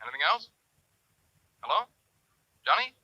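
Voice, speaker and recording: An actor playing a brisk, helpful colleague through a telephone filter, in 1950s radio drama style. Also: male voice, on the high side, sing-song, pushed and bright, slightly rough, no echo, exaggerated voice. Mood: brisk, puzzled. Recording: dull, distant telephone sound.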